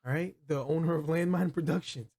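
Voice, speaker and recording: A man with a somewhat high-set voice hosts a relaxed review chat about films. His phrasing is informal and full of slang, like talk between friends. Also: a little fast, creaky. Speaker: video review host. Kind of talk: casual movie review chat.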